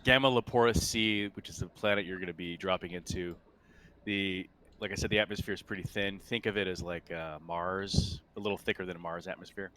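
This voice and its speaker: A man running a tabletop roleplaying game as game master, thinking aloud in a halting, unscripted way. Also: sits low, fairly even intonation, bright, forceful tone, slight background noise.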